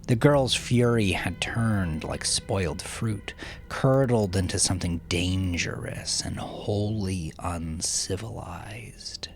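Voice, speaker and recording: A man narrating dark dramatic fiction in a brooding, theatrical way, with breathy hushed phrase endings. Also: low-pitched. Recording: music behind the voice. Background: music.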